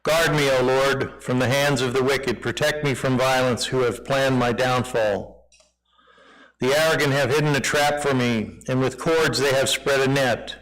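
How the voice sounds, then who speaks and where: A man with a loud voice, reading psalms aloud in a church during a microphone sound check. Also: mid-range voice, fairly even intonation, a little slow, low-quality recording. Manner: loud, projecting voice. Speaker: scripture reader testing church microphones. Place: church sanctuary. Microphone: pulpit and lapel mics.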